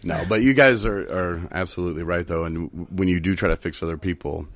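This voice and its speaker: A man's voice: low-pitched, fast talker. A man co-hosting a casual talk about recovery, giving advice and playfully teasing his friends. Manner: teasing, playfully mock-bitter storyteller. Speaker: podcast co-host.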